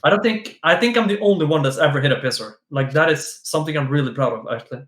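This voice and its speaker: A man's voice: on the high side, fast talker, a bit bright, reverberant. A man, a guest on a stream, chatting playfully with giggles and lots of restarts. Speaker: guest, esports player. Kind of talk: casual chat on a stream.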